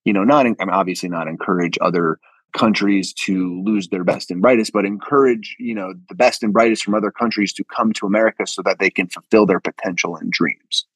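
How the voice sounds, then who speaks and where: A man's voice: low voice, fairly quick, a bit bright, husky, no room sound, rough recording. A man hosting a conversational interview, wordy and informal, often checking for agreement. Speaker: podcast host.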